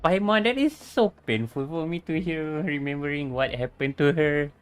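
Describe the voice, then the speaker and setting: A woman's voice: low voice, normal speed. A woman streamer, chatting bluntly while playing a game and reacting with mild swearing. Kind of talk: casual game commentary. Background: game music.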